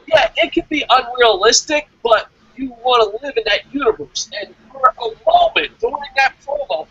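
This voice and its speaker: A man giving excitable, casual fan commentary as co-host of a review show, rambling and repeating himself. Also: very high-pitched, dry recording, muffled recording.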